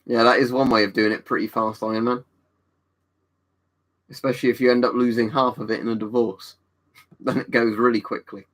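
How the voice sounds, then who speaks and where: A man's voice: mid-range voice, level tone, brisk, pauses often, fairly bright tone. Chatty man reading stream chat. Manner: chatty, rambling, answers viewers by name. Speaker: livestream host reading chat. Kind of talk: casual chat.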